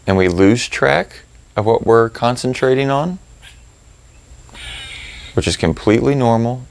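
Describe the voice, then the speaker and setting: A man leading a guided meditation as a teacher, calm and unhurried, leaving long pauses as he gives instructions. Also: deep voice, vocal fry.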